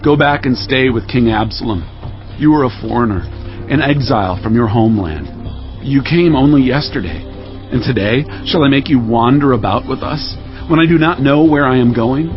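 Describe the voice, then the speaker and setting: A man acts a grave king of ancient Israel in a medium-pitched voice, earnest and commanding yet humble. It is biblical audio drama, slightly lo-fi, with music underneath. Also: animated, moderate pace, lightly acted. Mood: concerned, kindly. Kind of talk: audio Bible drama acting. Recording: dull lo-fi audio with background music.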